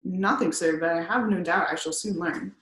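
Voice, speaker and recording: A woman's voice: on the low side, moderate pace, fairly bright tone, close to an everyday voice. Polite earnest young man, as a woman reads him, roomy sound.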